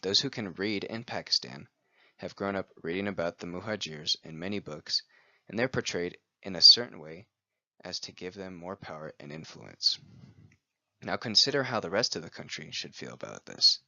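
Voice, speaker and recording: A man with a very deep voice and a sharp, forceful edge reads a prepared presentation in an even, steady way. The recording is close and dry, with a muffled, lo-fi quality. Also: normal speed, gravelly, no room sound. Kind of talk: scripted read-aloud presentation.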